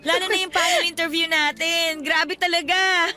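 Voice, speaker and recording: A woman's voice: very high-pitched, steady tone, normal speed, bright, forceful tone, heavily acted. A Filipino woman playing a nervous, modest and supportive young office worker in an earnest radio drama style. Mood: nervous, exasperated.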